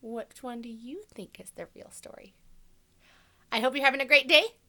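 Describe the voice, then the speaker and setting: A woman's voice: high voice, normal speed, bright, forceful tone. A woman teacher doing a read-aloud for children, explaining simply and putting questions to her listeners.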